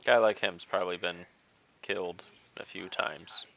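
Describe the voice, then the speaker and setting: A man's voice: sits low, fairly even intonation, measured pace, gravelly, a crowd in the background. A man playing a tabletop game, direct and joking with a crude sense of humor. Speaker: player. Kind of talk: casual tabletop play. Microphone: home mic on video call. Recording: dull lo-fi audio.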